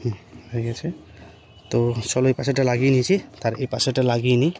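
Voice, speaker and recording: Male vlogger for a hands-on install tutorial: very quick, informal, unscripted delivery, mid pitch, noticeable background noise. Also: little pitch movement, a little mellow. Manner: informal, improvised, talking while working with hands. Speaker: motorcycle vlogger presenting an unboxing and installation. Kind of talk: casual hands-on tutorial chat to viewers. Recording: clear background ambient noise.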